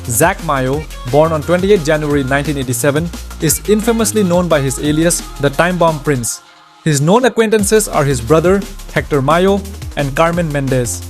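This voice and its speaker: Man, fairly high voice, scripted storytelling narration, game story summary, soft music underneath. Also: moderate pace.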